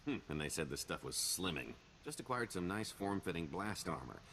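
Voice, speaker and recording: A deep, animated, sly con artist. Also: male voice, moderate pace, slight background noise, heavily acted. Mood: smug, wry. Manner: wheedling salesman patter, wry. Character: smooth-talking male con-artist schemer, sly and self-assured. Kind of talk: video game dialogue acting.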